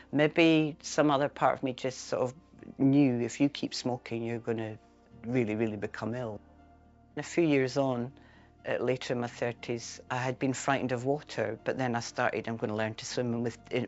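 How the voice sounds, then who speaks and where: A woman from Edinburgh with a Scottish accent, candidly telling her own story as a former smoker in a reflective way. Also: rumbling low. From Scotland, Edinburgh. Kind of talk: personal testimonial. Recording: muffled with faint background music. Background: faint music.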